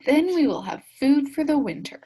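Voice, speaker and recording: Woman as a high-voiced, patient storybook mother. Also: sing-song, moderate pace, dark tone, rough recording. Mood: practical. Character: patient mother sending her little daughter berry picking.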